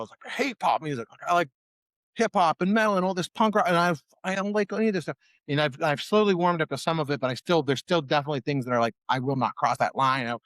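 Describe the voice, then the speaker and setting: A man from Ohio with a slightly high voice talks casually, rambling through restarts and fillers. He acts out his past reactions in quick bursts. The room sound is dry and close. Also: no echo. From Ohio, USA. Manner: rambling, many restarts and fillers, animated when quoting himself. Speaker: musician guest. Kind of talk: casual conversation.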